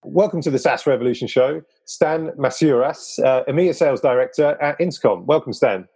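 A man's voice: mid-range voice, a little fast, vocal fry, a little mellow, slightly rough. A man hosting a podcast, presenting and interviewing. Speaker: podcast host.

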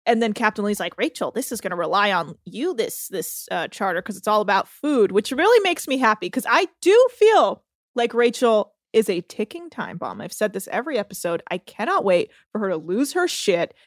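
A woman's voice: mid-range voice, sing-song, normal speed, dry recording, studio quality. A woman co-hosting a podcast, recapping eagerly in casual chat and laughing often. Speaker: podcast co-host.

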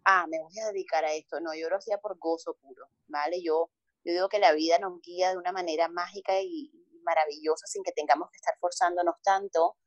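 A woman from Colombia with a Caribbean coast accent, a holistic psychologist telling her story in a relaxed interview, expressive and repeating words for emphasis. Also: lowish voice, fairly quick, dry recording, highs cut off.

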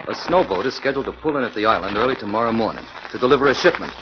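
An actor playing a ruthless hoodlum gunman who talks in blunt, gruff gangster slang, in 1940s radio acting. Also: medium pitch, lively intonation, rapid-fire, natural voice. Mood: menacing. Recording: dull noisy 1940s radio transcription.

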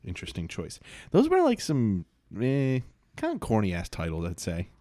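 Laid-back podcast host, a man with a lowish voice, loose and rambling, recorded dry. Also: huge pitch swings, moderate pace, mellow, no echo. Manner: laid-back, rambling with fillers and frequent laughs. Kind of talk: casual chat.

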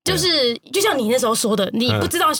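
A woman's voice: high-pitched, lively intonation, quick pace, fairly bright tone, breathy edge. A woman with a Taiwanese Mandarin accent telling stories casually as a podcast guest. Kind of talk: casual, animated storytelling in conversation.

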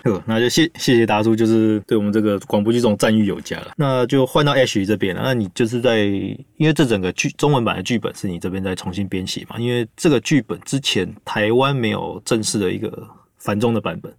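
Fast-talking Taiwanese man hosting casually. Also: lowish voice, darkish tone, no room sound, clean studio sound. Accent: Taiwanese Mandarin. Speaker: host. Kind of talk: casual chat.

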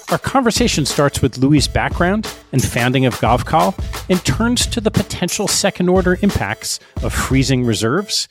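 A man hosting a podcast, reading a measured scripted introduction and then asking his guest questions. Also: mid-range voice. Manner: measured, lively intonation. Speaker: podcast host.

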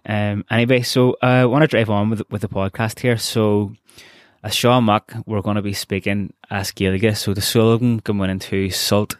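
A young Irish man hosting a podcast, chatting in a relaxed, rambling way. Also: deep voice, no echo, clean studio sound. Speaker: podcast host. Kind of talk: casual podcast chat.

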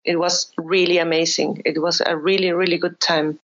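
Chilean woman with halting, muffled speech. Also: fairly low pitch, level tone, fairly bright tone. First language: Spanish. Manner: halting, many restarts and fillers, laughs often. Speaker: guest, psychologist and expat coach. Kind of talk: casual interview conversation.

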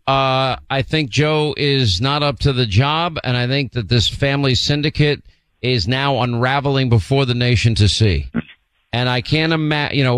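A man hosting a call-in radio show, talking emphatically and slowing down to stress his points. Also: medium pitch, fairly bright tone, clear, resonant tone, dry recording. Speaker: talk radio host. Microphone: studio microphone.

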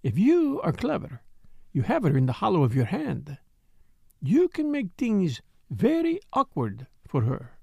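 A man's voice: sits high, huge pitch swings, measured pace, creaky, a little mellow, no echo, studio quality, full character voice. Man putting on a sly, purring French dancer woman. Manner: purring, insinuating. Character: scheming, theatrical Parisian woman dancer, teasing and sly.

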